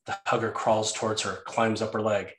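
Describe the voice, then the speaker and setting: A man's voice: on the low side, flat delivery, normal speed, fairly bright tone, slight background noise. A man reading scene headings and stage directions as the narrator of a screenplay table read. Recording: roomy, echoey sound.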